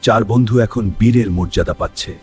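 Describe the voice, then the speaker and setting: A man's voice: fairly low pitch, a little fast, over music. A man reading scripted story narration for a cartoon.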